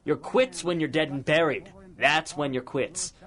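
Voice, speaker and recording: A man's voice: on the high side, a little slow, a bit bright. An actor playing a cynical, corrupt older policeman in a 1930s radio crime drama, taunting in folksy tough-guy slang. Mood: threatening. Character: cynical corrupt older cop, menacing and mocking.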